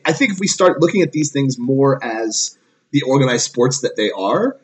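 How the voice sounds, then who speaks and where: A man's voice: mid-range voice, lively intonation, moderate pace. A man in his thirties co-hosting a casual podcast, explaining earnestly and often checking in with "right?". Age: 30s. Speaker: podcast co-host.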